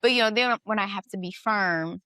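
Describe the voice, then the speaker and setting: A woman's voice: medium pitch, fairly quick, sharp tone, dry recording, clean studio sound. A middle-aged woman hosting a casual conversation, thoughtful and questioning, often adding "you know" and "right". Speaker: podcast host.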